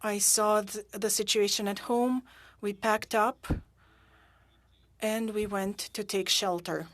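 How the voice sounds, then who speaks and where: A woman interpreting witness testimony at a hearing into English, halting and pausing often with fillers. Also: medium pitch, fairly even intonation, a bit bright, dry recording. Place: interpreter booth at a parliamentary hearing.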